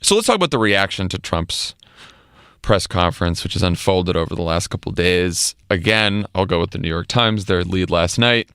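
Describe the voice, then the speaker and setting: A man's voice: deep voice, animated, moderate pace, fairly bright tone, clean tone, no room sound, studio quality. An American man co-hosting a podcast, giving casual political commentary in an emphatic, conversational way. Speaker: podcast co-host.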